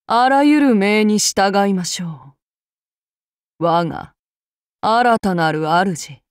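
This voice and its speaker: An actress as a cold, unstable young samurai avenger for a game. Very slow, dry studio read. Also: female voice, medium pitch, smooth, no echo, close to an everyday voice. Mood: measured. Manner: slow, measured, calm then suddenly fearful. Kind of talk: game character voice lines.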